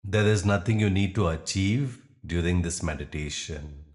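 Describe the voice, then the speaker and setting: A man guiding a meditation in soothing, measured phrases with long, deliberate pauses. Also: deep voice. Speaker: meditation guide. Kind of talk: guided meditation narration.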